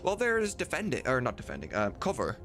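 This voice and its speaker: A man's voice: mid-range voice, wildly swooping pitch, a little fast, fairly bright tone, soft background music. A man chatting casually and informally as a livestream host, with some mild swearing. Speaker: livestreamer and host of a game voice-dubbing mod team.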